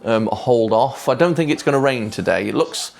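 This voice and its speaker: A man, talking quietly and hesitantly to camera in a casual travel vlog, pausing often with ums, on a clip-on microphone. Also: medium pitch, lively intonation, creaky. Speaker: vlogger.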